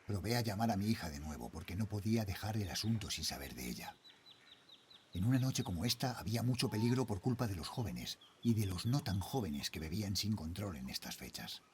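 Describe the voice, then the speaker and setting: A man with an accent from Spain playing a worried middle-aged father, a night guard, narrating a horror audio drama in measured, brooding literary style. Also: lowish voice, big pitch swings, slight background noise. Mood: uneasy, brooding. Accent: Spanish, from Spain.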